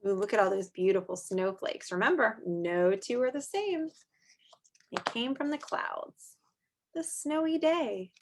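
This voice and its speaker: A woman in her thirties reading aloud and explaining to young children in an animated, expressive way, like a teacher. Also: a little high, unhurried. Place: small furnished room at home, indoors.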